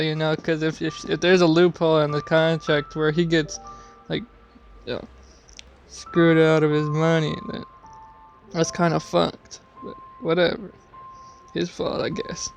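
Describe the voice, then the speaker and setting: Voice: medium pitch, level tone, a little slow, vocal fry, pauses often. A game streamer giving casual commentary, musing and hesitant, with game music behind. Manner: musing, hesitant, thinking aloud.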